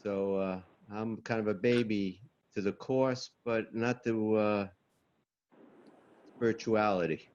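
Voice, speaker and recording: A man's voice: on the low side, steady tone, takes it slowly, darkish tone. An older man with a Long Island New York accent, talking casually about himself as co-host of an online group. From Long Island, New York, USA. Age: 60s or older. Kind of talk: casual group video-call introduction. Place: online video call. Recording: dull lo-fi video call audio.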